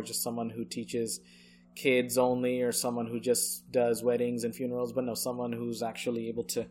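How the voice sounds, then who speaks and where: A man's voice: medium pitch, slight background noise. An American man, an Islamic scholar, recounting his life story in an earnest, emphatic podcast interview, often checking in with his listener. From United States.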